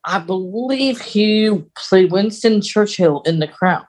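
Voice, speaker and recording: A man co-hosting a casual fan discussion podcast, explaining details in a halting way. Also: high-pitched, expressive, a little mellow. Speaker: podcast co-host.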